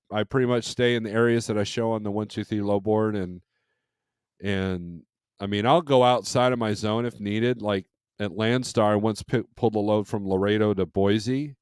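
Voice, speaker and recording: A man's voice: lowish voice, fairly even intonation, moderate pace, clear, resonant tone, no room sound, clean studio sound. A man in his fifties with an American accent, swapping stories in a casual open-forum chat as host. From California, United States. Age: 50s. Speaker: host, truck driver and dispatcher.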